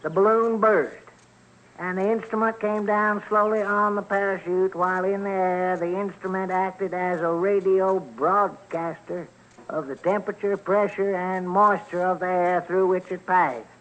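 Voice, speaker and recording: A folksy country fellow in a radio drama. His voice is high for a man, with a slow, deliberate drawl and homespun plainness. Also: fairly even intonation, fairly bright tone, low-quality recording. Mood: puzzled, plodding. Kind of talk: old-time radio documentary acting.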